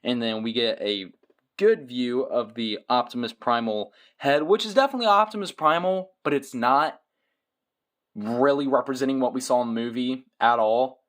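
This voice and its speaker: A man's voice: medium pitch, moderate pace, a bit bright. A man doing casual product review commentary as a toy reviewer, with dry, joking asides.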